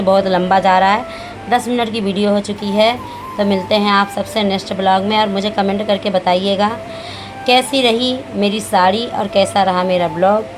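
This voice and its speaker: A woman in her thirties, a family vlogger talking casually and homely at home, her voice a little hoarse. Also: medium pitch. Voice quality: chatty, homely, rambling with fillers. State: slightly hoarse. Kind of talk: casual home vlog chat.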